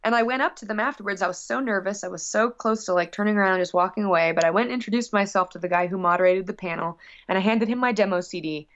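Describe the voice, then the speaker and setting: A woman musician chatting casually as an interview guest, gushing and rambling excitedly. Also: medium pitch, fast talker, few pauses. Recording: slightly dull, lo-fi audio.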